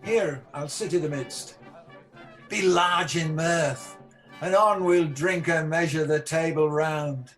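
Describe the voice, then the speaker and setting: A man's voice: a little high, slow pace, fairly bright tone, soft background music. An amateur actor playing a guilt-haunted tyrant king with a hospitable surface in a Shakespearean radio play. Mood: grandly welcoming.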